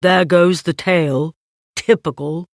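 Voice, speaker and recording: A woman voicing a gloomy, resigned toy donkey in a children's storybook, glum and deadpan. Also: on the low side, slow, no room sound, clean studio sound.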